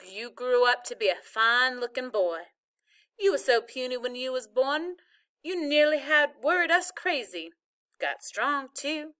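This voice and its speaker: Woman acting a feisty old Southern granny, high-pitched, forceful, folksy, fond but scolding, storybook character. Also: expressive, unhurried, clear, resonant tone, full character voice. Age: older. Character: feisty, loud, affectionate old Southern grandmother.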